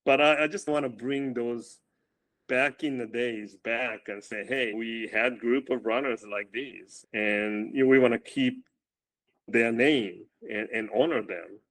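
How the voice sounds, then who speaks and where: A male running coach speaking in a casual interview, with a loud voice, often repeating words as he starts his sentences. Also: medium pitch, a little slow.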